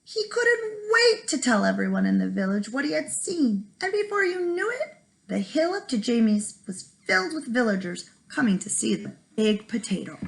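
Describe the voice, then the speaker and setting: A woman teacher reading a children's story aloud expressively, with dramatic pauses for young listeners. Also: on the high side, big pitch swings, gravelly, poor audio. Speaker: first grade teacher.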